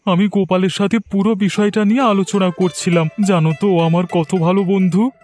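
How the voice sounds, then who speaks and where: Poor, hopeful cartoon villager voiced by a man: high male pitch, humble, hesitant, earnest pleading, soft music bed. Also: little pitch movement, brisk, close to an everyday voice. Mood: grateful. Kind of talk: cartoon story voice acting.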